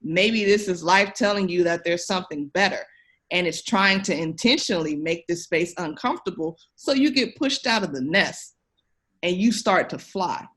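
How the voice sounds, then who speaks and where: A woman's voice: on the low side, expressive, normal speed, fairly bright tone. A woman speaking as an interview guest, emphatic and encouraging, addressing the listener directly in a conversational way. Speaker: podcast guest, education researcher.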